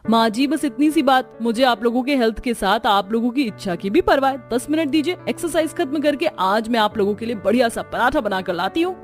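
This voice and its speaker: A woman acts out a young, educated daughter-in-law in a cartoon family story. She is earnest and preachy, lecturing in a high-pitched voice over music. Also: expressive, fairly quick, few pauses, lightly acted. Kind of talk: cartoon story character acting. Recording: music under the voice.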